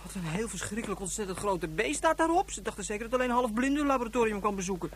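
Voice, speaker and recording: A woman's voice: fairly high pitch, expressive, racing, crowd noise, natural voice. A woman playing an eager, impatient, chatty young boy in a radio drama, gushing with exaggerated words. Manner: piles up exaggerated adverbs.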